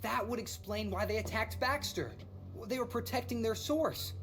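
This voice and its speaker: A woman playing an eager, bookish researcher in a video game, explaining things in an excitable way. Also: fairly high pitch, moderate pace, natural voice. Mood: excited. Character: eager, bookish female researcher of monster folklore. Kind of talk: cinematic video game acting. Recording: faint music behind the voice.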